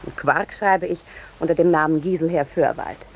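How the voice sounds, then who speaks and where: An actress playing a pushy, self-important female war correspondent in a 1950s German radio play, talking in a brisk, insistent manner. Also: low-pitched. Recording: noisy 1950s radio drama recording.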